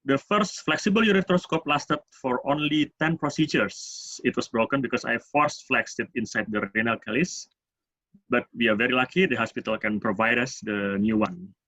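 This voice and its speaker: A man with an Indonesian accent giving a medical lecture as a urologist, speaking in halting, hesitant phrases. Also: medium pitch, bright, forceful tone. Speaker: urologist presenting at a medical webinar. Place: online webinar. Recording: dull, roomy webinar audio.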